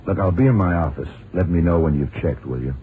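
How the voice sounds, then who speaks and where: A very deep-voiced, commanding investigator played by a man, terse and probing. He speaks in the measured style of vintage radio drama. The recording is narrow, muffled and clearly noisy. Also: lightly acted. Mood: preoccupied. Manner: terse, probing, methodical questioning. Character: seasoned, shrewd male FBI investigator, commanding older colleague. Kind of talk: 1960s-70s radio drama acting.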